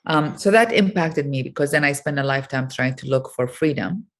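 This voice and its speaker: A middle-aged woman from Iraq with a notably low voice talks as an interview guest. She thinks aloud, pausing often with soft fillers before going on. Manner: thoughtful, many pauses and fillers. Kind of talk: reflective interview talk.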